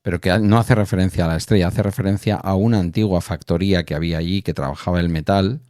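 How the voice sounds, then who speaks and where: Deep, mellow man chatting. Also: fairly even intonation, quick pace, dry recording, clean studio sound. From Spain. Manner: rambling, thinking aloud with asides. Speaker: podcast host. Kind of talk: casual chat.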